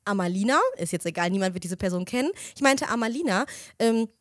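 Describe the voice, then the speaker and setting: A young woman co-hosting a casual chat, telling lively stories, laughing often and getting loud when worked up. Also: mid-range voice, quick pace, no room sound, studio quality.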